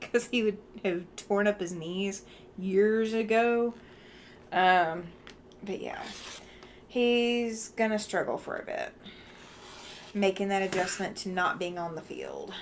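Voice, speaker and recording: A woman's voice: medium pitch, slight background noise. A tired woman with a Southern US accent chatting casually while she works on a quilt at home, thinking aloud with fillers and frequent laughs. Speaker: quilting livestream host.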